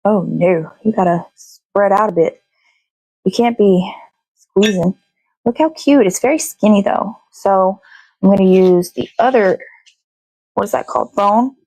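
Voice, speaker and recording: A woman's voice: medium pitch, unhurried, pauses often, warm tone, no echo, poor audio. A woman chatting casually while she cooks, rambling to herself and swearing freely as a show host. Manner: rambling, self-talking, frequent swearing. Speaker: host of a daily puppet show. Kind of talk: casual chat while cooking.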